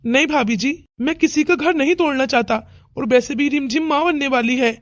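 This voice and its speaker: A woman's voice: high voice, fairly quick, natural voice. A woman voicing a meek, deferential, apologetic young suitor in a melodramatic Hindi cartoon story. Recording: music under the voice.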